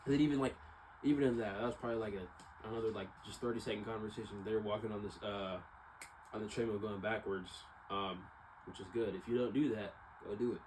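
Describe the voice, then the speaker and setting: A man's voice: lowish voice, fairly even intonation, fast talker, a little mellow, slight background noise, poor audio. A young man with an American accent, talking casually and reflectively in a rambling personal monologue, often repeating himself. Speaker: vlogger.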